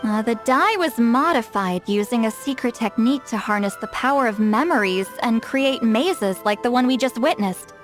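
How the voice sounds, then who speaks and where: An actress playing a sharp, self-assured young scholar in a video game, confident, explanatory and a little cheeky. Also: female voice, a little high, lively intonation, normal speed, few pauses, soft background music, close to an everyday voice.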